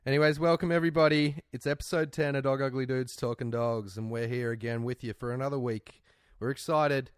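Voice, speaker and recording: A man co-hosting a casual podcast, hungover and worn out, joking and laughing loudly. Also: medium pitch, steady tone, fairly quick, hardly any pauses, smooth, dry recording, studio quality. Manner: loud, laughing, joking banter. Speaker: podcast co-host. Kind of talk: casual chat.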